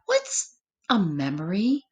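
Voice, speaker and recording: Give us a curious little storybook boy, performed by a woman, swooping up high at the start of a question and dropping gently, without any rush. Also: huge pitch swings, slow, a little hiss or hum.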